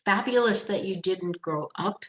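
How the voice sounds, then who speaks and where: A woman hosting a podcast interview, speaking in a friendly, measured way. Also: mid-range voice, a bit bright, reverberant. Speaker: podcast host.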